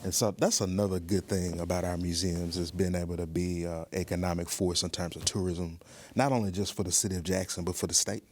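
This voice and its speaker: Low-voiced man explaining conversationally. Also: little pitch movement, brisk, darkish tone. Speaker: museum director, podcast guest. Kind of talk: conversational interview.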